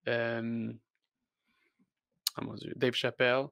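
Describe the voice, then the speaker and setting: A man's voice: mid-range voice, little pitch movement, takes it slowly, vocal fry, a bit bright, clean tone, dry recording, studio quality. A young man with a Québécois French accent, speaking as a wrestler guest in a casual podcast interview, hesitant with many restarts. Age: young adult.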